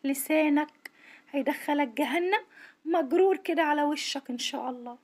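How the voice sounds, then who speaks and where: High-voiced Egyptian woman, tired voice. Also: moderate pace, darkish tone. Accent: Egyptian Arabic. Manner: earnest, indignant appeal. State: tired, strained voice. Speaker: social worker. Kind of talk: monologue to camera. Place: at home.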